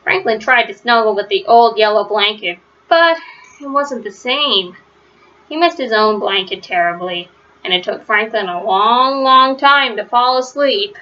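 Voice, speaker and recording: Bright, high-voiced woman reading a storybook. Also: expressive, unhurried. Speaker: read-aloud storyteller. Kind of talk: children's storybook narration. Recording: slightly dull, lo-fi home recording.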